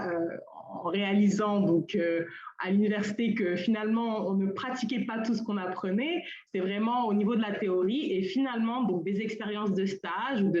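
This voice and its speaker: A young woman from Senegal speaks at a middle pitch in an informal panel talk, pausing often with fillers and restarting her phrases. Her voice comes over a video call that sounds roomy and dull. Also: darkish tone. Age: young adult. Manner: hesitant, with many fillers and restarts. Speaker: colloquium panelist.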